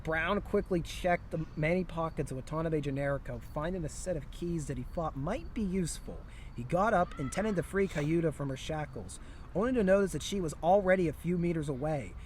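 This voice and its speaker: Outdoor ambience with faint vehicle noise sits behind a man with a slightly high voice. He reads a comic fantasy story aloud and drops into casual chat between passages. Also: fairly quick, a little mellow. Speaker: co-reader in a story read-along. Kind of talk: humorous story reading with casual asides. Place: outdoors near a historic town site.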